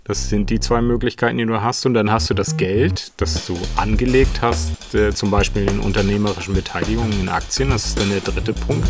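A man explaining patiently and conversationally, measured and checking back with the listener. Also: fairly low pitch, steady tone. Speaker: investment explainer. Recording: music bed under voice. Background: drum music.

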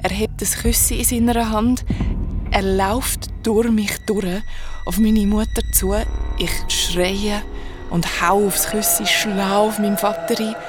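Frau spielt stockende, verstörte junge Geisterfrau, langsam. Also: female voice, mid-range voice, fairly even intonation, over music, lightly acted. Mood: anguished. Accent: Swiss. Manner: halting, breathy, repeating words under strain. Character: young woman ghost, haunted, distressed daughter. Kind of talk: radio drama narration and dialogue.

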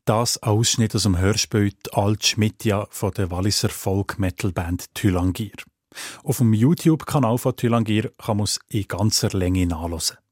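Male presenter, Swiss German accent, low husky voice, scripted feature narration, dry studio sound. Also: moderate pace, darkish tone, no room sound. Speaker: radio presenter.

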